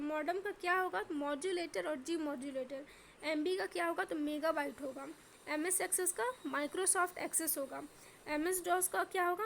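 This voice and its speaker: A woman's voice: extremely high pitch, slight background noise. Woman teacher with a sore throat. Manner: drills terms by asking then answering. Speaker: exam-prep teacher. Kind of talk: repetitive question-and-answer revision lesson.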